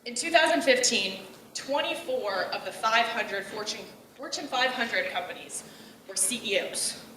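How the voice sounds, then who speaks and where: A woman's voice: fairly high pitch, lively intonation, measured pace, slight background noise. Young woman, bold and outgoing speaker, far-off mic, roomy echo. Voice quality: outgoing, loud and direct. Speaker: speaker giving a talk. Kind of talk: personal speech on gender roles. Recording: echoey room, voice from afar.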